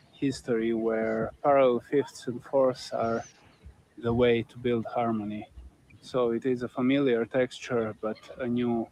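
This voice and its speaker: A man, a composer calmly introducing his organ piece in a recorded message. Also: medium pitch, slight background noise, low-quality recording. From Serbia. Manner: plain, measured explanation.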